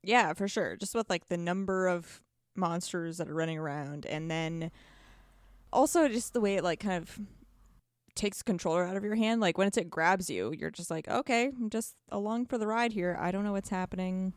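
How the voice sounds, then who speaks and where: Lower-pitched woman co-hosting, close dry sound. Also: normal speed, clean tone, dry recording. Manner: informal, conversational. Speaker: podcast co-host. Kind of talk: casual gaming podcast chat.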